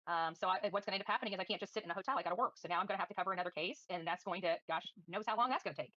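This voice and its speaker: A woman's voice: fairly low pitch, very fast, pushed and bright, no room sound, muffled recording. A woman livestreaming commentary and answering viewers in a combative, defiant, scornful manner. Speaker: livestream host.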